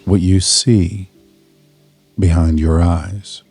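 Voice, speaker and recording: A man narrating a scripted guided meditation in a soothing, measured manner, leaving pauses between short phrases. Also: low-pitched, steady tone, a little mellow, breathy edge, no room sound, faint music behind.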